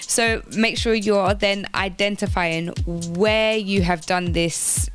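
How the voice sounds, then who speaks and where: A woman's voice: medium pitch, fairly even intonation, takes it slowly, smooth, dry recording, faint music behind. A woman presenting informal careers advice on the radio, explaining things step by step and repeating phrases for emphasis. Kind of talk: informal instructional talk on job applications.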